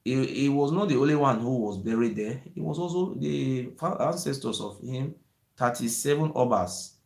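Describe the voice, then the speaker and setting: A man talks with rising passion in an echoey room. He keeps repeating himself, his voice sits at an ordinary pitch, and it often climbs toward a shout. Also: moderate pace, creaky. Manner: excited, rising to near-shouting, repetitive "you see". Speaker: channel host. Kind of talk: impassioned monologue.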